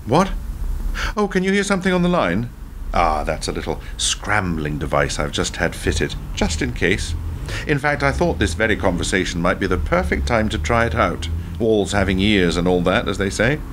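A man's voice: fairly low pitch, lively intonation, moderate pace, background noise, lightly acted. A man acting in a radio play as a defiant, wry former prime minister, speaking emphatically and articulately. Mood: breezy, sly.

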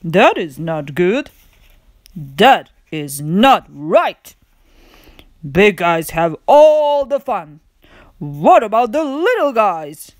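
A woman voicing an earnest, indignant male elephant who protects his friend, read from a children's storybook in a deliberate, emphatic way. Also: mid-range voice, sing-song, no echo, studio quality.

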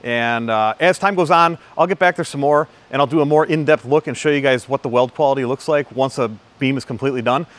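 A man's voice: medium pitch, fairly bright tone. A man in his thirties hosting a casual, upbeat walkthrough of a metal fabrication shop, filmed on a phone camera. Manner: chatty, quick and upbeat. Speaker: company video host. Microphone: phone camera, selfie and standing shots.

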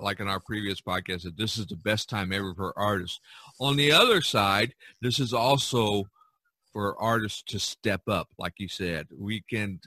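A man hosting a casual podcast discussion, talking in a rambling, halting way with repeated phrases. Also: on the low side, bright, forceful tone, no echo. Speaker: podcast host and artist.

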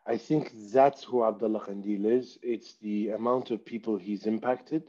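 A man from Saudi Arabia in his thirties, speaking slowly and reflectively as an artist guest on a video call interview, sometimes talking about himself in the third person. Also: fairly low pitch, steady tone, warm tone, slightly rough. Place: small room indoors. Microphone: headset on video call. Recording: dull lo-fi call audio.

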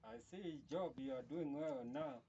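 A man's voice: mid-range voice, unhurried, warm tone, muffled recording, a little hiss or hum. A middle-aged man playing a contractor boss in an improvised comic chat, questioning and teasing, recorded outdoors in a construction yard. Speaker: contractor boss in a comedy sketch.

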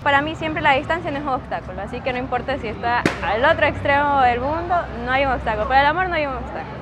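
A woman from Ecuador giving emphatic, expressive answers in a street interview. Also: high voice, fast talker, highs cut off, crowd noise, noisy background. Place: outdoors on a street.

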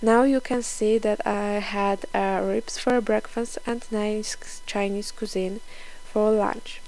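Young woman w doing a fitness voiceover, kinda slow n careful, bit of vocal fry, sounds scripted. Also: mid-range voice, fairly even intonation, a little mellow, poor audio. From Poland. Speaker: fitness vlogger. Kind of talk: scripted instructional voice-over.

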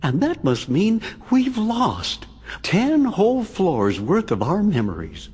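An actor voicing a gentle, concerned cartoon animal companion with a raspy voice in a video game. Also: male voice, high voice, huge pitch swings, slow pace, exaggerated voice. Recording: long hall reverb.

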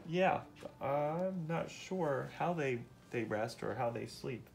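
A man's voice: mid-range voice, expressive, slow pace, gravelly, faint music behind. A middle-aged man, a father patiently reading a nonfiction picture book aloud and explaining it as he goes. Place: indoors on a couch at home.

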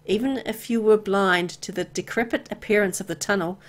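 A woman reading a horror visual novel aloud in an expressive, dramatic storytelling way. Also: medium pitch, a little fast. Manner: expressive, acting out dialogue. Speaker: gameplay video narrator. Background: music.

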